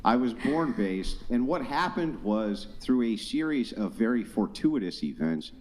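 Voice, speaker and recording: A man's voice: mid-range voice, big pitch swings, unhurried, rough recording. A man in his forties hosting a live debate show, sarcastic and needling, talking over the other side. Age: 40s.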